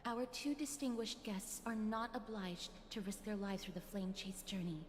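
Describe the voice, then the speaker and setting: A woman playing a gentle, solemn young woman in a fantasy video game, speaking formally in a hushed, measured way. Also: mid-range voice, a little hiss or hum, natural voice. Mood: grave, cautioning. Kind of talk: scripted video game voice acting. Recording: reverberant game audio with music.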